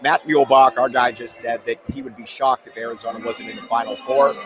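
A man with a mid-range voice hosts a casual sports podcast. His voice is hoarse and worn from days of yelling. He rambles along with easy enthusiasm. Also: medium pitch, fairly even intonation, a little fast. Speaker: podcast host.

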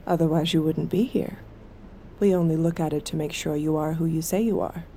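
Mellow, creaky, seductive woman. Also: sits low, steady tone, brisk, a little hiss or hum. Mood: cool. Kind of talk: immersive audio drama acting.

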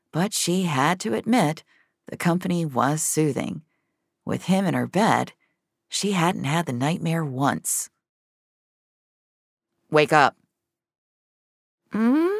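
A woman's voice: deep voice, gravelly, no room sound, studio quality. A woman narrating a scripted fiction story as its storyteller.

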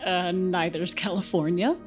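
A woman's voice: on the low side, steady tone, normal speed, lightly acted. An actress playing a reflective, grieving woman in a naturalistic audio drama. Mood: casual, friendly. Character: Felicia, reflective grieving woman narrating, friend of the lost girl. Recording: music behind the voice.

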